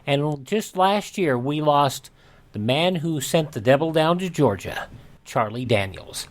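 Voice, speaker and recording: A man's voice: on the high side, lively intonation, unhurried. A man hosting a radio show, reading history notes and anniversaries in a scripted announcer style. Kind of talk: this-day-in-history radio narration.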